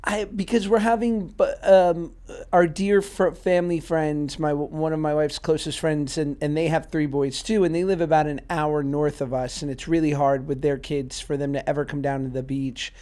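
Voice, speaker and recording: A man's voice: on the high side, steady tone, mellow. Joking man co-hosting a podcast. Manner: joking, halting with restarts in chat. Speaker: podcast co-host. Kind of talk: casual chat and reading out stories.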